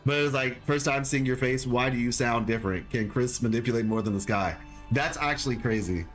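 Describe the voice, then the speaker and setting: Guy streaming games, mid-pitch voice, rambly and chill, lots of likes and ums, bit of music faint behind. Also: a little fast. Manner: rambling, filler-heavy, laid-back. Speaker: game streamer. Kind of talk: casual live-stream chat.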